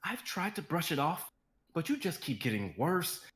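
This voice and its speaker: A man voicing a patient male assistant to a star, speaking calmly and gently in an amateur dramatic reading. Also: a little high, moderate pace, reverberant. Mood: reproachful. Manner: calm, gentle, soothing. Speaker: actor in a live script reading.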